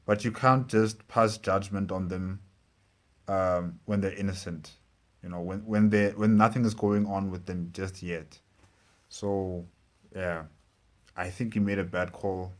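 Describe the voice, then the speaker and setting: A man giving casual reaction commentary as a show host, speaking haltingly and repeating phrases. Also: sits low, steady tone.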